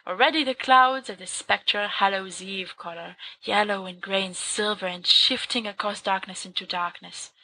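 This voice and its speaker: Bright woman reading fan fiction aloud. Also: mid-range voice, fairly even intonation, normal speed, few pauses, a little hiss or hum, rough recording. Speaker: podfic reader.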